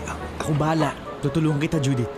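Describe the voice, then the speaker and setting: A man playing a polite, helpful young man in a Filipino radio drama, speaking in an earnest, gentle, slightly hesitant way. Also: a little high, fairly even intonation, quick pace, music in the background, natural voice.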